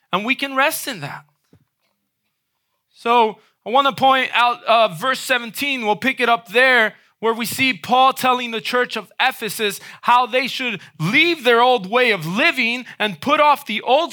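A man preaching a sermon in a conversational way, often checking in with a quick "right?". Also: very high voice, pushed and bright. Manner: conversational, frequent "right?" tag questions.

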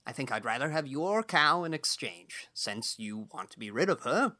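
A woman voicing a gruff, outspoken old male farmer in an audiobook, blustery and blunt but kindly. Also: rumbling low, big pitch swings, moderate pace, pushed and bright, voice pushed down, heavily acted. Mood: brisk, forgiving. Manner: blustery, blunt, folksy exclamations. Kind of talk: audiobook character dialogue.

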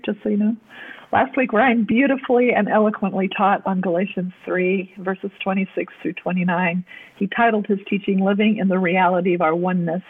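A woman's voice: medium pitch, breathy edge. A middle-aged woman, a native German speaker, preaching at a church podium, telling her own story earnestly and repeating words for emphasis. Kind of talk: personal testimony sermon. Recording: tinny phone-like audio.

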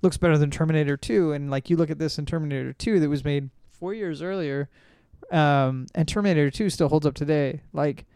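A man's voice: on the high side, a little fast, warm tone, clean tone, no echo, clean studio sound. A young man hosting a podcast, chatting casually and rambling through stories with lots of filler words. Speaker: podcast host. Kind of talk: casual pop-culture chat.

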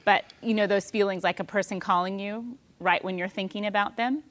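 A woman in her thirties giving a calm, thoughtful spiritual talk on prayer, with soft pauses. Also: mid-range voice.

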